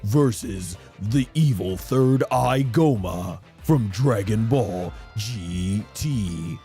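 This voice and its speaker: A man playing drawn-out, showy fight announcer. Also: lowish voice, lively intonation, warm tone, soft background music, heavily acted. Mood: showy, emphatic. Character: booming fight announcer introducing a matchup.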